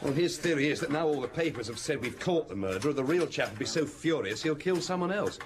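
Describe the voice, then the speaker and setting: Guy playing a keen young cop, lively up and down voice. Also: on the high side, fast talker, close to an everyday voice. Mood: explaining. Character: eager young male police inspector. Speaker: police inspector. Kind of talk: radio drama acting.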